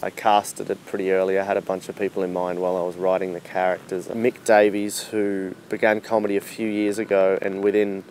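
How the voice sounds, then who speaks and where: A man talking casually about his own project, halting and self-deprecating. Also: sits low, flat delivery, darkish tone, slight background noise. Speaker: writer presenting own radio project. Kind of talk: casual promotional talk to camera.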